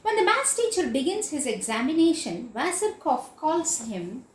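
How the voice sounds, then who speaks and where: A woman's voice: high voice, big pitch swings, moderate pace. A woman teacher giving an explanatory literature lecture in a didactic, emphatic way, repeating and spelling out key words. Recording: echoey room.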